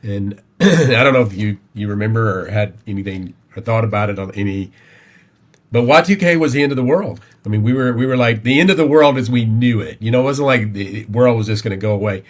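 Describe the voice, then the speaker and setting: A middle-aged man from Tennessee with a Southern American accent, telling personal stories as a podcast guest in a halting, folksy way. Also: lowish voice. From Tennessee, United States. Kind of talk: conversational personal storytelling.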